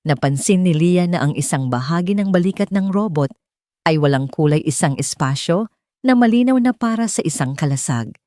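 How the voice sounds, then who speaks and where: A Filipino woman narrates a story in a low-pitched voice with a soft, dark tone. The delivery is scripted audiobook storytelling, recorded very dry and close. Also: dry recording.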